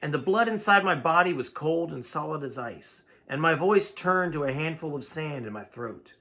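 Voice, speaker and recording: Animated man reading a story aloud. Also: on the high side, moderate pace. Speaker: amateur audiobook reader. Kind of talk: animated storytelling read-aloud in first person.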